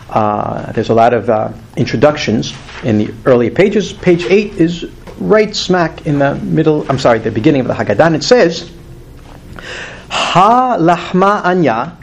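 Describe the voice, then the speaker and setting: Earnest man teaching a Torah class. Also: a little high. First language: not English. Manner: earnest, emphatic, rising on key words. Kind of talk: weekly Torah class lecture. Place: classroom with a live group.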